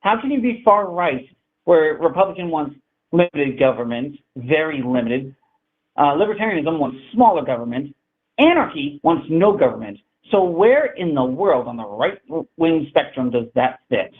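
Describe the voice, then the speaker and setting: A man's voice: fairly high pitch, animated, normal speed. A man in his thirties, calling in by video to argue politics bluntly, repeating himself and talking over the other speaker. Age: 30s. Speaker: caller debating the host. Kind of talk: heated political debate. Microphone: video call. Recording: tinny phone-like call audio.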